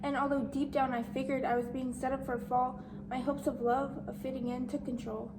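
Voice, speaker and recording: A woman's voice: high-pitched, level tone, a little fast, background noise. A woman playing a shy, insecure, bookish teenage outcast in an amateur dramatic monologue. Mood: hopeful, doubtful.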